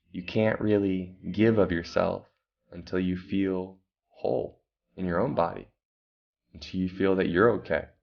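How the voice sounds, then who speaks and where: A man calmly guiding a meditation, giving slow breathing instructions with long pauses. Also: sits low, vocal fry, highs cut off. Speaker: meditation guide. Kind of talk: guided meditation.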